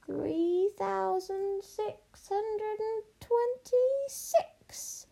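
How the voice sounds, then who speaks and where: A woman voicing a cruel, miserly old storybook witch who draws out her words with cold, deliberate disdain. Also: very high-pitched, creaky, dark tone, voice pushed up, exaggerated voice, rough recording. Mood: menacing.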